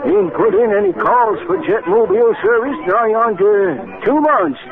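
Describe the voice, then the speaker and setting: Casting: man as high, squawky country innkeeper, rustic American, folksy and crotchety, phone-like old radio sound. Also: moderate pace, lightly acted. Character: folksy, crotchety backwoods village innkeeper, male. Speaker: actor in a radio drama. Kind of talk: 1950s radio acting. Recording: phone-like 1950s radio recording.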